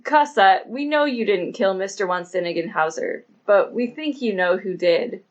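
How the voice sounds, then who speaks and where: A sober female police inspector in an audiobook novel, read by a man. She is skeptical and firm, laying out her reasoning in measured phrases. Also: high voice, expressive, lo-fi sound. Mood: uneasy, skeptical.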